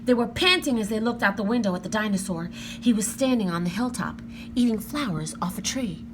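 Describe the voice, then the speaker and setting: A woman narrating a children's book aloud with expressive, careful, dramatic phrasing. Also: mid-range voice, brisk, background noise. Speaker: storybook reader.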